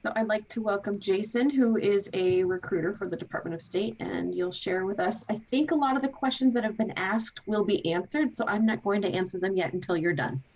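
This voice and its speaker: A woman moderating an online career panel, asking guests brisk, polite questions over a video call. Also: medium pitch, a little mellow. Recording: distant, muffled video call audio.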